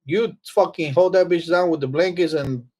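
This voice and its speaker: A man's voice: fairly high pitch, normal speed, mellow. A man co-hosting a casual interview, joking and teasing the guest with constant profanity. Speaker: co-host.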